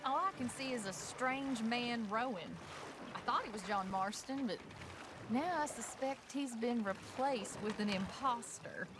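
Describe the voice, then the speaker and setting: A woman's voice: fairly high pitch, a little slow, noisy background, close to an everyday voice. A woman playing a wry, tough but affectionate frontier wife in a video game, with an American accent and a blunt, teasing way of talking. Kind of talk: dramatic video game acting.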